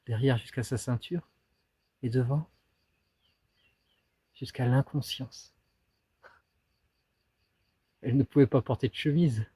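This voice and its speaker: A man acting an anguished, bitter and dreamy teenage schoolboy in a declamatory theatrical monologue, swinging between outbursts and hushed musing. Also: mid-range voice, takes it slowly, long pauses, breathy edge, no echo. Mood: dreamy, melancholy.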